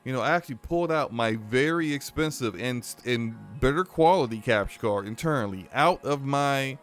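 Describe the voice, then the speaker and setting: A man with a deep voice chatting casually on a live game stream, flustered as he talks himself through tech trouble. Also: expressive, moderate pace, soft background music.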